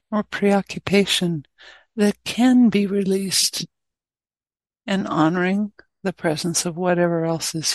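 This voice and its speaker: A woman teacher leading a guided meditation, speaking in short, gently inviting phrases with pauses between them. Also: fairly low pitch, no echo.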